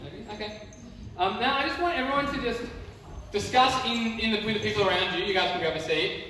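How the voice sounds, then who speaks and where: A high-pitched man gives an informal youth group talk in a conversational way. The sound is heavily echoey and distant from the mic, with faint noise behind it. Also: brisk, bright, forceful tone. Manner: conversational, addressing the group with questions.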